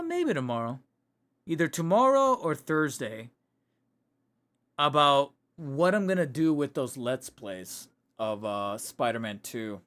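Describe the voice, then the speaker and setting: A man's voice: a little high, fairly bright tone. A man in a casual livestream chat, rambling and correcting himself, his voice getting tired. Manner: rambling, self-correcting, chatty. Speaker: streamer. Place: home room. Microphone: desk microphone.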